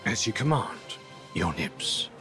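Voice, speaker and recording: A man playing a sly rogue pirate in a video game, wheedling in a drawn-out manner. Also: lowish voice, big pitch swings, over music. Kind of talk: dramatic video game acting.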